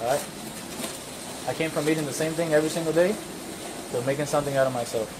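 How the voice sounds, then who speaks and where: A man, a police officer calmly coaxing and de-escalating a tipsy woman. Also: fairly high pitch. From Cuba. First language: Spanish. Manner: patient, coaxing, quick-talking. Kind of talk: calming, de-escalating talk. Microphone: police body camera. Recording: noisy body camera audio.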